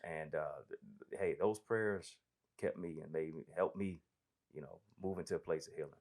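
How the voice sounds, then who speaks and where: A man being interviewed as a guest preacher, telling stories in a lively way and laughing often. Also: sits low, moderate pace. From United States. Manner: animated, laughs often, says "you know what I'm saying". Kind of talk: casual interview storytelling.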